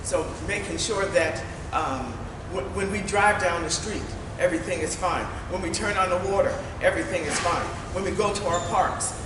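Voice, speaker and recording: A woman's voice: fairly low pitch, normal speed, hardly any pauses. A woman running for mayor, giving an earnest, emphatic forum speech that repeats phrases for stress. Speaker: mayoral candidate. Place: large meeting hall. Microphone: distant room mic. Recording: echoey hall from far off, noisy.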